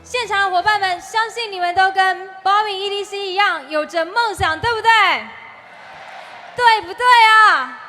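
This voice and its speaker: A woman's voice: extremely high pitch, moderate pace, sharp tone, faint music behind. A woman emceeing a large convention, leading the crowd with upbeat call-and-response. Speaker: event emcee.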